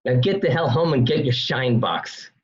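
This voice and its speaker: A man's voice: medium pitch, animated, moderate pace, natural voice. A man playing a mouthy, contemptuous old-school mobster in an amateur radio play, taunting and scornful. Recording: roomy, dull lo-fi home recording.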